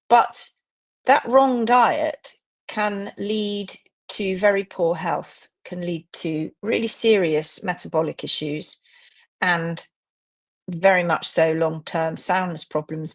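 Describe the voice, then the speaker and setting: A woman's voice: fairly low pitch, vocal fry. Chatty woman hosting a live stream. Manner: chatty, greets viewers by name. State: slightly croaky voice. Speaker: host of a live hoof care stream. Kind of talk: live stream chat to viewers.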